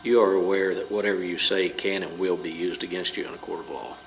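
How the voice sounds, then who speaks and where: A man's voice: low voice, level tone, fast talker. A man playing a stern, formal police officer questioning a suspect in an audio drama. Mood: stern, accusing. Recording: clearly noisy background.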